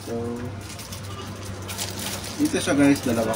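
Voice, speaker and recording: A Filipino man giving a casual, halting unboxing talk to his viewers, often repeating his words. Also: mid-range voice, over music. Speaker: vlogger presenting a product unboxing. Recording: distant mic, clearly noisy background with birds.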